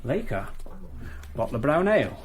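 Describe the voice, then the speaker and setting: An actor playing a firm, curt village publican in a radio drama. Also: male voice, mid-range voice, very animated, normal speed, natural voice. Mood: polite.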